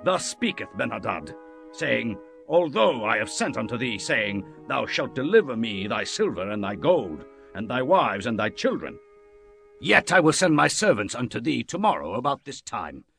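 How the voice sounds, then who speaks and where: Casting: stern, gravel-voiced envoy of a warrior king, deliberate delivery, scripture drama. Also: medium pitch, big pitch swings, a bit bright. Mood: stern demand. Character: commanding messenger of a warlike king. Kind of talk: dramatized Bible reading. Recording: music bed under the voice.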